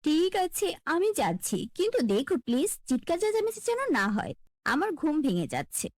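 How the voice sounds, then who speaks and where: A woman's voice: high voice, sing-song, moderate pace, darkish tone, no room sound, studio quality. A woman voicing a pampered, complaining young girl in a cartoon fairy tale, whiny and entitled. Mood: peevish.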